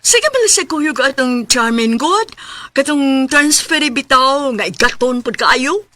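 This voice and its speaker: Casting: chatty young female schoolmate, radio drama, gossipy, slightly high voice. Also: lively intonation, slow pace, sharp tone, full character voice. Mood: gossiping. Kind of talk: Cebuano radio drama acting.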